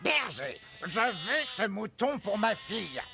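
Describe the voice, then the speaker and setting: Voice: mid-range voice, sing-song, takes it slowly, vocal fry, exaggerated voice. An actor voicing a possessive, pompous fairy-tale king and stubborn father in a theatrical way for a children's cartoon. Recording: loud music behind the voice.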